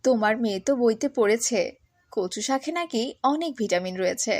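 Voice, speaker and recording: Woman as high-voiced pious village mother. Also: animated, a little fast, no room sound, studio quality. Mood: gentle, devout. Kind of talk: cartoon moral-story voice acting.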